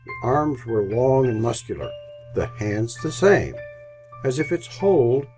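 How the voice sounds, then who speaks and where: A man's voice: medium pitch, animated, unhurried, darkish tone, soft background music. A man narrating a classic story in the style of an old-time radio drama, with scripted storytelling delivery.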